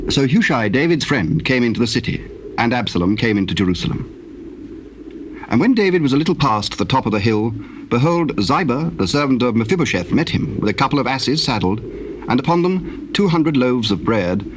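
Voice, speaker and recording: A man's voice: medium pitch, sing-song, fairly quick. A man narrating scripture as a solemn, scripted story on a noisy old tape.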